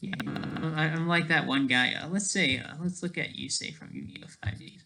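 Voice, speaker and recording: A person thinking aloud in a casual, digressive commentary over study notes, halting often and talking in an informal, self-deprecating way. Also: mid-range voice, creaky, bright, forceful tone, slight background noise. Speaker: solo online commentator.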